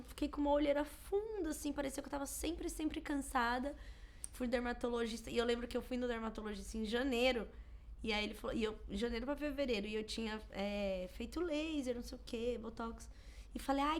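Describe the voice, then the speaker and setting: A woman in her thirties with a Brazilian Portuguese accent, co-hosting a podcast in casual, animated chat and acting out quotes as she tells stories. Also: fairly high pitch. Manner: chatty, animated storytelling with acted-out quotes. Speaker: podcast co-host.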